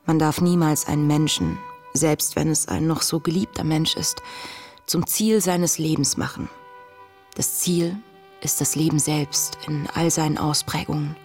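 Radio drama diarist: young woman, searching and contemplative, deep register with plenty of fry. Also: steady tone, darkish tone. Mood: solemn, reflective. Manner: contemplative, measured, intimate. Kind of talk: radio play, diary read as monologue. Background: music.

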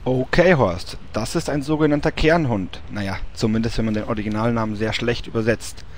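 A man plays a self-important, exasperated gaming raid leader in a parody radio play. His voice sits at medium pitch as he explains with strained patience. Faint noise is behind him. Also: a little fast, natural voice. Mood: pompous. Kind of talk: comedic audio drama parody acting.

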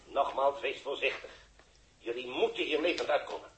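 A man's voice: medium pitch, moderate pace, fairly bright tone, lightly acted. A Dutch actor playing an earnest, urgent mission controller instructing a space crewman in a 1960s radio drama, in an echoey recording. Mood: earnest, cautioning.

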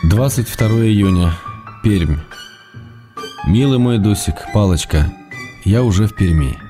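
Strong music plays behind a deep male voice. a middle-aged writer and husband in a radio drama, reading his letters aloud. He is tender and playful, with a measured, intimate delivery. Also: lively intonation. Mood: calm, affectionate.

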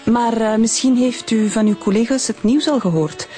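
A woman's voice: on the high side, normal speed, mellow, soft background music, lightly acted. A woman with a Flemish accent playing a guarded, prickly witness in a radio drama, curt and formal. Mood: prickly, pointed. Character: guarded, prickly girlfriend of a crime victim.

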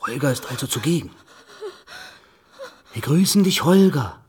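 An actor playing a commanding, theatrical doctor who leads a séance with solemn, formal oratory in a radio play. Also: male voice, medium pitch, expressive, normal speed, crowd noise, close to an everyday voice. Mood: urgent, ceremonial.

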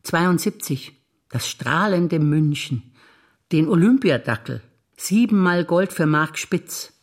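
A female stage actor reciting personal memories in a calm, reflective way, over music. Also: deep voice, takes it slowly.

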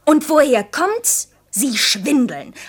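A woman's voice: high voice, big pitch swings, slow pace, heavily acted. Defiant, sensitive young woman. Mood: indignant. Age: young adult. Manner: earnest, reproachful, shifting between insistent outbursts and hushed confiding. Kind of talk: German radio drama acting, intimate dialogue.

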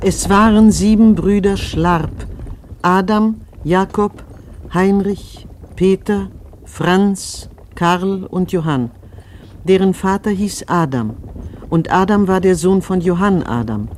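A man playing a solemn chronicler, reciting a family history in measured scriptural style for a radio play. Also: high-pitched, a little mellow, slight background noise.

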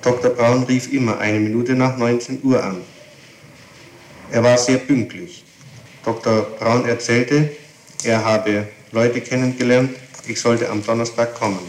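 A man's voice: mid-range voice, fairly even intonation, measured pace. A man reading a statement back in a formal, matter-of-fact way during an interrogation. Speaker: police record taker. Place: echoey interrogation room. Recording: echoey archival tape with faint hiss.

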